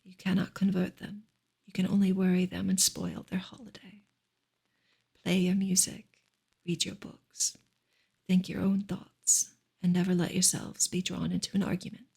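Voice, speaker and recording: A woman speaking softly and gently in a breathy, whispery ASMR style, as a streamer reading and chatting to listeners. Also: lowish voice, monotone, moderate pace. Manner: gentle, soft-spoken. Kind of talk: soft-spoken ASMR book reading and chat.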